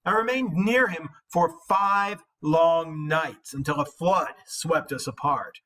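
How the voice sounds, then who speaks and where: A man voicing a proud, boastful hero warrior in an epic poem, declaiming with measured emphasis. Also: a little high, sharp tone, recorded from a distance, voice pushed up. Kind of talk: dramatic epic poem read-aloud.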